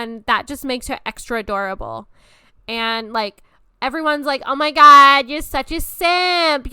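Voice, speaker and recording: A woman's voice: sits high, pushed and bright, smooth, no echo, clean studio sound. A woman in her twenties hosting a podcast, talking in a casual, rambling and gushing way, swearing often. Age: 20s. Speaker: podcast host. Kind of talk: casual monologue.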